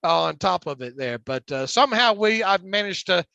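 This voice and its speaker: Gravelly stuttering man, lo-fi podcast. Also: high-pitched, animated, normal speed, pushed and bright, dry recording. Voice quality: gravelly smoker's voice. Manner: frequent restarts and repeated words. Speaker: podcast co-host. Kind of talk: casual sports chat. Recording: dull lo-fi dry sound.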